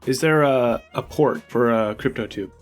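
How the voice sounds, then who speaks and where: A man's voice: mid-range voice, moderate pace, faint music behind. A man playing a tabletop role-playing game, casually talking through what his character does.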